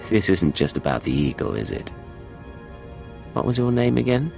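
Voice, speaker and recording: A deep gravelly male spy, cool and deadpan, game drama, faint music. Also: normal speed, full character voice. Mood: dry, cool. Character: cool, dry-witted male imperial secret agent. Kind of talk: video game voice acting.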